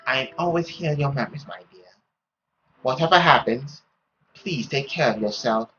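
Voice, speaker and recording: A man's voice: mid-range voice, expressive, normal speed, bright, forceful tone, highs cut off, a little hiss or hum, voice pushed down. A man voicing a stern, mournful mother in a visual novel, solemn and formal. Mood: tender farewell.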